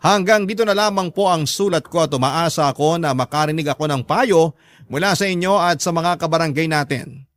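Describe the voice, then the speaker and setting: A man's voice: a little high, brisk, fairly bright tone, no room sound, studio quality. A man reading a letter aloud as a radio drama host, in earnest, heartfelt storytelling. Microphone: close, dry studio mic.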